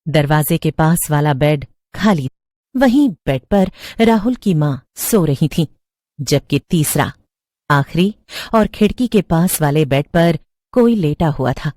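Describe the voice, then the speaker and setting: A woman's voice: sits low, animated, slow, dark tone, no room sound. Woman narrating horror stories, very dry sound. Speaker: story narrator. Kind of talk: scripted horror story narration.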